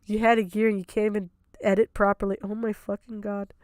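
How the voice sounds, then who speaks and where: A woman's voice: medium pitch, level tone, a little fast, a little mellow, no room sound, studio quality. A woman streaming unscripted reaction commentary, exasperated, sarcastic and prone to swearing.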